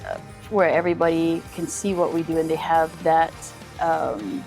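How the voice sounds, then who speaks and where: Slightly low-voiced woman in an informal interview. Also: steady tone, normal speed, creaky, a little mellow, soft background music. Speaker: emergency dispatcher.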